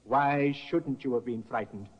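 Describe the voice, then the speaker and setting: An actor playing a shrewd, polished defense barrister in a 1950s radio drama, speaking in a measured, probing way. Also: male voice, medium pitch, lightly acted. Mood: cross-examining. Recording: dull lo-fi old radio broadcast.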